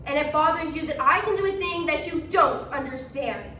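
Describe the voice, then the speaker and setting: A woman's voice: sits high, moderate pace, sharp tone. A woman playing a defiant woman accused of witchcraft, challenging her judges with emphatic questions in a stage performance. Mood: firm, defiant. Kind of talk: competitive stage readers theatre. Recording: echoey room, distant mic, noisy.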